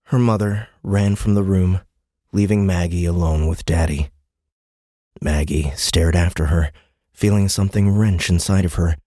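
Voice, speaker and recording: Deep, husky male audiobook narrator. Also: steady tone, unhurried, warm tone, dry recording, studio quality. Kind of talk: scripted narration.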